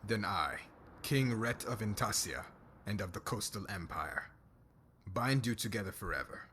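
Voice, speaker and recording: A man acting a low-voiced, commanding fantasy king. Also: animated, a bit bright, a little hiss or hum. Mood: solemn, ceremonial. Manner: formal, measured, authoritative phrasing. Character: solemn, commanding young warrior king, loyal friend. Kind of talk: ASMR roleplay audio drama acting.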